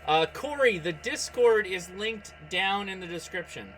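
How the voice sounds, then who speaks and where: A man with a bright, forceful and slightly high voice hosts a casual hobby livestream. He is excitable, commenting aloud as he goes and talking straight to his viewers. There is faint noise behind him. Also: measured pace, poor audio. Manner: excitable, reacts aloud, talks to viewers and contacts by name. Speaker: host of a ham radio livestream. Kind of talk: casual livestream chat and running commentary while operating radio.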